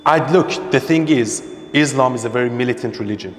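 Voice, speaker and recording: A man's voice: mid-range voice, brisk. An emphatic man from Iran argues his views in a podcast interview, repeating key points for weight. His voice carries a long reverb from the room. A faint hiss of noise sits underneath. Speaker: interviewee, social media creator. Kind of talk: opinionated conversation.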